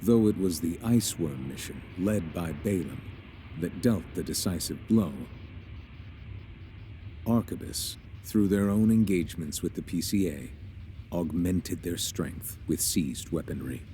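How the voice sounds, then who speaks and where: A man narrating as a grave war chronicler in a video game, formal, measured and solemn. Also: low-pitched, mellow, slight background noise.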